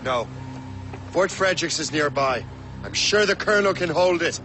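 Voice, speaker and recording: A man's voice: a little high, expressive, moderate pace, music in the background, close to an everyday voice. An actor playing a resolute, commanding male captain in a video game cutscene, giving firm, clipped orders. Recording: game audio with loud score.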